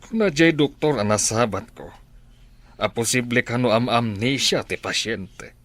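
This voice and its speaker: A man's voice: mid-range voice, a little slow, a bit bright, dry recording. A man with an Ilocano accent playing a stern, exasperated middle-aged father in a radio drama, exclaiming and scolding. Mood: concerned.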